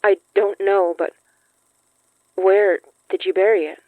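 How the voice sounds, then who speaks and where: A woman playing a gentle, earnest young ghost summoner in an audio drama, speaking haltingly through a thin, radio-like filter. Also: medium pitch, fairly even intonation, no echo. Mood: awed, earnest. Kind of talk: intimate audio drama acting. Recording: thin, phone-like band-limited sound.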